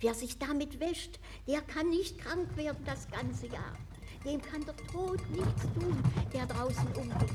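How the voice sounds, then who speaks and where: An actress playing a frightened, superstitious old woman in a 1960s German radio play, muttering charms in a breathy, incantatory way. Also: sits high, over loud music. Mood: stubborn, fearful. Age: older. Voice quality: breathy, hoarse half-whisper. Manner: slow, incantatory, stubborn.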